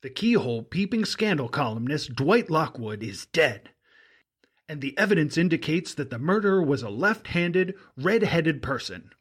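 Husky, dramatic male radio announcer. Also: a little high, very animated, moderate pace. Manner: dramatic recap delivery. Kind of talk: scripted 1940s radio drama narration.

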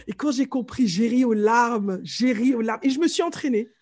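A middle-aged woman from Martinique with a light Martinican accent, a vocal coach telling stories in a casual interview, animated and fond of mimicking voices. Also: fairly high pitch, moderate pace. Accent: light Martinican French.

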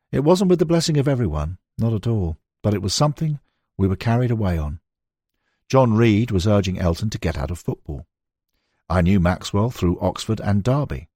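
A man with a deep, slightly husky voice narrates a factual book. He reads in a measured storytelling manner, and the recording is close and dry. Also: darkish tone, no room sound. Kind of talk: scripted narration of a football history.

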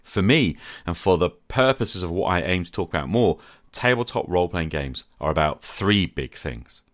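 A middle-aged man hosting a podcast, delivering a reflective scripted monologue in a measured, earnest way with rhetorical questions. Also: deep voice, expressive, no echo, studio quality. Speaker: podcast host.

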